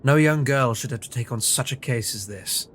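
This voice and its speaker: An actor playing an observant, wry Victorian detective in an audio drama, with a dry, precise, theatrical delivery. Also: male voice, medium pitch, moderate pace, a bit bright, slight background noise, natural voice. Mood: analytical, serious.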